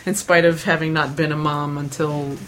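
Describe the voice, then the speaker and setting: Rambling woman, low voice. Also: fairly bright tone, slight background noise. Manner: rambling, self-deprecating, many fillers and restarts. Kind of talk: casual chat.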